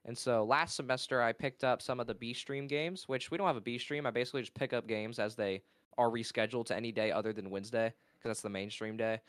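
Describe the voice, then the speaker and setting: A young man with a naturally loud, resonant voice tells his story in a relaxed interview. He rambles, gets excited and loses his place. The sound is dry and close. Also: medium pitch, fast talker, no echo. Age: young adult. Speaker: esports caster and college player. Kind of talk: casual interview talk.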